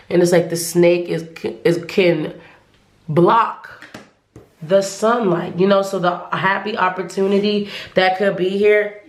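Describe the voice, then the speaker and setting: A woman's voice: lowish voice, reverberant. A woman giving a casual tarot reading to viewers, losing her voice, who rambles and often restarts her sentences. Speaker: tarot reader.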